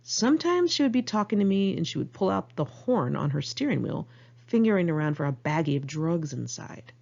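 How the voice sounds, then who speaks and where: A woman with an American accent narrates her memoir in a lowish voice with a husky rasp. She is candid and wry, telling it informally like an amateur audiobook. The recording is a little muffled. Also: sing-song, fairly quick, darkish tone. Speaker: author reading own memoir.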